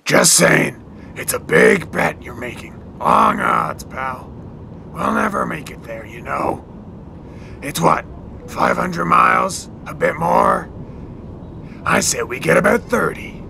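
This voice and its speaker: An actor playing a scarred, taunting crime boss with a split personality in an audio drama, theatrical and gravelly, breaking into harsh whispers. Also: male voice, fairly high pitch, expressive, sharp tone, slight background noise, exaggerated voice. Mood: mocking. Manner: theatrical, swinging, drawn-out menace.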